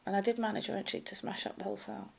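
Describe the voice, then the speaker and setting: A woman with a posh English public school accent and a slight clipped American edge, telling her own story in a loud, rambling way with many hesitations. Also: medium pitch, little pitch movement, racing, vocal fry, faint music behind. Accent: posh English public school with a slight American Ivy League clip. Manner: hesitant, many fillers and restarts. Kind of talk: rambling personal monologue.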